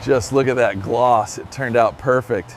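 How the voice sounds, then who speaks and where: A man's voice: medium pitch, measured pace, a little hiss or hum. A man giving scripted process commentary in terse, approving remarks. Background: background music.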